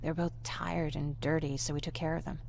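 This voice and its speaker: A woman playing a gentle, wistful young survivor with a creaky voice in a video game. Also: low voice, flat delivery, a little fast. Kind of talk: video game dialogue acting.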